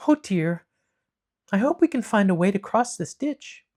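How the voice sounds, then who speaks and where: A man voicing a brave, practical young girl in a high, earnest voice. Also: expressive, measured pace. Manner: bright, high, earnest storybook voice.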